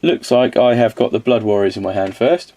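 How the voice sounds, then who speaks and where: A man with a slight cold-hoarse voice, casually reviewing miniatures, rambling and correcting himself as he goes. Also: lowish voice, brisk, mellow. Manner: rambling, self-correcting, counts aloud.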